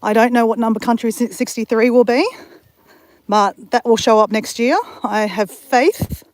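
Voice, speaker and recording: A woman's voice: on the high side, normal speed, a little mellow, no room sound. Aussie lady vlogging on a walk, chatty and rambly, lots of ums. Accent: Australian. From Australia. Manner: chatty, rambling with fillers and restarts. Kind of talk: casual walking vlog chat. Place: outdoors on a walk.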